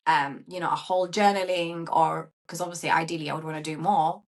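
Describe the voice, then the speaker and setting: A woman from Syria with a fairly low voice, speaking as a podcast guest. She talks in a chatty, animated way and keeps adding little fillers as she goes. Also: fairly quick. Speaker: guest, coach. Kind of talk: casual interview chat.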